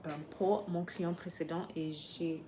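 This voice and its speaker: A woman speaking French as a second language, talking unscripted in a vlog, pausing often and searching for words. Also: lowish voice, fairly even intonation, mellow, a little hiss or hum. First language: not French. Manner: hesitant, many pauses and fillers, searching for words. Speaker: French learner vlogger.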